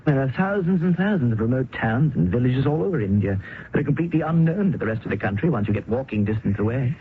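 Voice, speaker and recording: Actor playing an anguished young surgeon. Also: male voice, mid-range voice, expressive, quick pace, lightly acted. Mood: bleak. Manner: anguished, pleading. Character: grieving young English surgeon, desperate husband. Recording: old radio broadcast, phone-like band with faint hiss.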